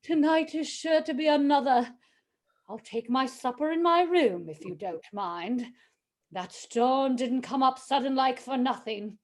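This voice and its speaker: A woman's voice: high voice, measured pace. A woman playing a superstitious, deferential housekeeper of a haunted manor in a radio play, with an ominous, formal way of speaking. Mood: foreboding. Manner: ominous, dramatic, formal servant address.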